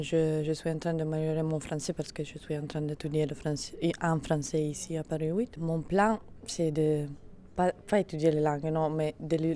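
A young language student from Italy speaks French with an Italian accent, searching for words. Her voice is very low and mellow. A faint ambient hum sits behind her.

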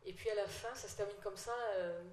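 A woman's voice: medium pitch, fairly even intonation, fairly quick, darkish tone, slight background noise. A woman telling an anecdote in a panel discussion, hesitant, with many fillers. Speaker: panel speaker. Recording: echoey room with faint ambience.

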